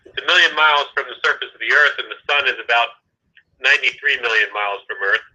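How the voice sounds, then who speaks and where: Man, satellite staffer on a call, halting explainer, very bright tone, tinny phone line. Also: medium pitch. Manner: halting, many restarts, explanatory. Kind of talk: phone conversation.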